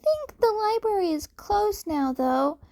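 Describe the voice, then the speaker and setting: A woman's voice: extremely high pitch, sing-song, unhurried, mellow, voice pushed up, heavily acted. A woman voicing a cheeky young cat boy in a fan fiction audiobook, polite and innocent on the surface but defiant. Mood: innocent, smug.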